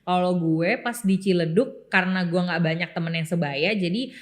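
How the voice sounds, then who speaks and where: A young adult woman with a Jakarta Indonesian accent chatting casually as a podcast host, animated and slangy, dropping in English phrases. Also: lowish voice, moderate pace. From Jakarta area, Indonesia. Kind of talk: casual nostalgic chat.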